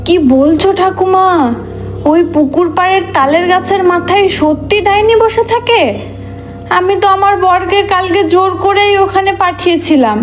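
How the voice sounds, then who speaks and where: A woman voicing a clever, bossy young wife in a cartoon, brisk and commanding. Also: extremely high pitch, soft background music. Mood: scheming. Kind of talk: cartoon voice acting.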